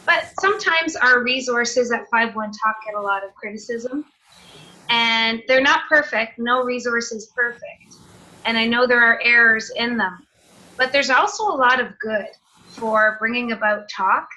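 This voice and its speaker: Canadian woman, teacher trainer on a video call; bright, fairly high, friendly explainer. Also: a little slow, low-quality recording. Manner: friendly, explanatory, with filler sounds. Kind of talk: online seminar presentation.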